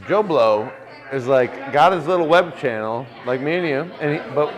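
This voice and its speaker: A man's voice: on the high side, expressive, normal speed, a crowd in the background, poor audio. Man, 40s, podcast co-host; laid-back and joking, walks through football plays in detail. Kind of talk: casual sports chat.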